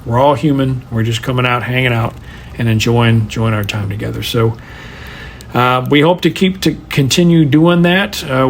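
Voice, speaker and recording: Halting man in a faintly noisy voice message. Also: mid-range voice. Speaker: fan contributing a voice message. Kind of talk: casual rambling personal recollection.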